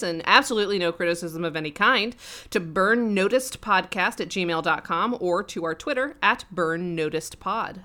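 A woman co-hosting a podcast in playful, mock-dramatic banter, her register deepened by a cough and pushed out a little like a radio DJ. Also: sharp tone. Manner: radio DJ-style projection, powering through sentences. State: has a cough. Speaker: podcast co-host. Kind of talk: casual comedic podcast banter.

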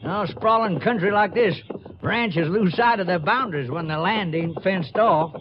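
A male actor plays a folksy, talkative trail foreman in a 1950s radio western. His easygoing country drawl is pitched slightly high, over a muffled and noisy recording. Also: normal speed, lightly acted. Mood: chatty. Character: friendly, talkative backcountry survey crew foreman. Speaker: supporting actor in a radio drama.

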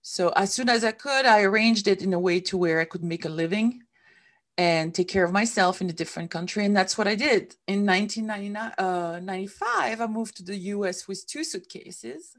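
A woman's voice: mid-range voice, moderate pace. A middle-aged woman from Switzerland, a guest telling her story in a candid interview, speaking English as a with small grammar slips. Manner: reflective, with some non-native grammar slips.

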